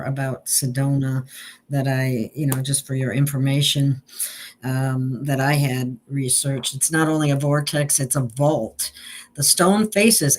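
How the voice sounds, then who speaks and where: A woman hosting a casual conversation, speaking haltingly with frequent pauses and restarts. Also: sits low. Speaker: host. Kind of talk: casual chat.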